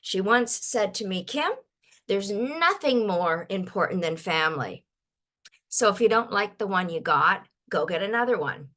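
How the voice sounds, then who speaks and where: An older woman from Ontario giving a webinar talk, her voice a little croaky, halting often with fillers and restarts. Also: medium pitch, lively intonation, a bit bright. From Ontario, Canada. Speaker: guest speaker.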